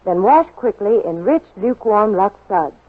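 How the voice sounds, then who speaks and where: A woman's voice: medium pitch, lively intonation, normal speed. A woman giving chirpy, instructive household tips in a scripted 1940s radio advertisement. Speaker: sponsor's spokeswoman. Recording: muffled old 1940s radio broadcast.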